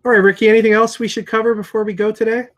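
Comic shop owner, forties, easygoing call host, earphone mic, lots of "uh"s. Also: male voice, sits high, rapid-fire. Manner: relaxed, chatty, many fillers. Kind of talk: casual video call chat. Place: room with comic books on shelves. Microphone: earphone headset on video call.

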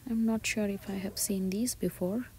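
A woman shopping vlogger giving soft, breathy, often half-whispered ASMR-style commentary as she describes items one by one inside a store. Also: mid-range voice, steady tone, moderate pace, rough recording. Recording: store ambience noise behind soft voice.

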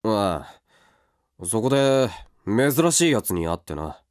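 A man playing a rough, hot-tempered older brother with coarse, gruff speech in a radio drama. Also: lowish voice, big pitch swings, takes it slowly, darkish tone. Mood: sullen, defiant. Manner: coarse, gruff slangy talk.

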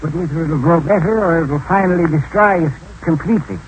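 A mysterious old hermit of the wilds, played by a man, folksy and philosophical, his drawl set fairly high. He sounds like an old radio broadcast with a faint hiss behind him. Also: brisk, muffled recording, natural voice. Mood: musing. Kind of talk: 1940s radio acting.

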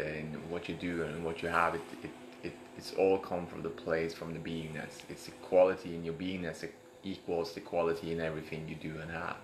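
A man leading an improvised guided meditation in a halting way, repeating words and drawing out phrases. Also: low voice. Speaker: meditation guide, host of a live session. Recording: echoey room, clear background noise.

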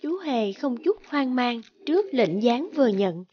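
A woman giving scripted narration for an animated fairy tale. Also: a little high, measured pace, dull audio.

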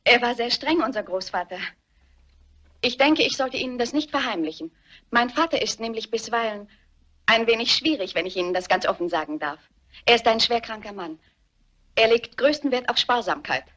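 A woman's voice: fairly high pitch, expressive, normal speed, pushed and bright, dull audio. A woman playing a dutiful, anxious, genteel daughter in a German radio crime drama, polite and hesitant. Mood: earnest, apologetic.

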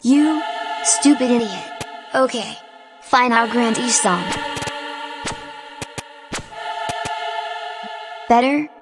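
Loud music runs behind a high-voiced, wrathful goddess of punk rock, acted by a woman. She delivers grand threats in a comedy audio drama, then drops into petulant, offhand grumbling. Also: expressive, unhurried. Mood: grudging, offhand. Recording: music under the voice.

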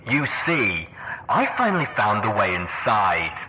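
A man playing a confident, calculating pony villain in an audio drama, speaking in a deliberate, theatrical, self-assured way. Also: on the low side, very animated, echoey room, heavy background noise. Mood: gloating, explanatory. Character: confident, theatrical pony villain, calm schemer fond of monologue.

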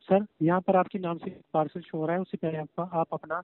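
A fairly high-voiced man talks over a thin, tinny telephone line. He sounds like a call-centre agent reading a script, formal and repetitive, with a "sir" in nearly every sentence. The sound is dry. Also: level tone, fairly quick, no room sound. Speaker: phone scam caller posing as courier agent. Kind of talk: scripted call-centre customer service talk. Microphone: phone call. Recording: tinny narrowband phone line.

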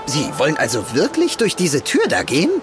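A man's voice: a little high, wildly swooping pitch, brisk. A man playing a wary, loyal, fretting valet in a German audio drama. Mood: worried. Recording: music behind the voice.

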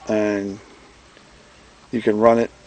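A man giving a casual hands-on product demonstration as presenter, plain-spoken and folksy, often clearing his throat. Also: on the low side, very slow, a little mellow, poor audio. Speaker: presenter, radio restorer and seller. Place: indoor workbench.

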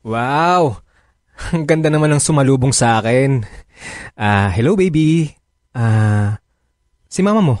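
A man's voice: medium pitch, lively intonation, unhurried, pauses often, darkish tone, dry recording, clean studio sound, lightly acted. A man playing a possessive, reluctant lover in a radio drama, hesitant and sulky. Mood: affectionate.

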